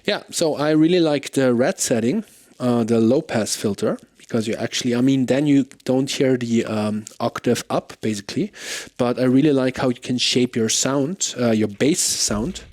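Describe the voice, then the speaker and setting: A man giving a casual, off-the-cuff gear demo, thinking aloud in an informal way. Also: normal speed. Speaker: bass gear demonstrator. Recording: loud bass guitar playing alongside. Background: electric bass through effects pedals.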